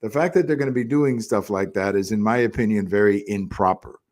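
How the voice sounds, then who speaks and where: A man's voice: lowish voice, a little fast, a little mellow. A man hosting an opinion podcast, talking conversationally and emphatically in a monologue, repeating phrases to press his points.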